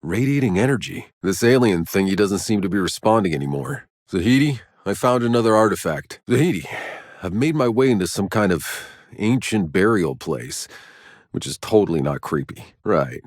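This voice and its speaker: A man's voice: fairly low pitch, lively intonation, moderate pace, dry recording, clean studio sound. A man playing a sardonic, battle-weary sci-fi soldier hero in a video game, with terse delivery. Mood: wry, sardonic. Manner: sardonic, terse, swears freely. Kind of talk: video game character voice lines.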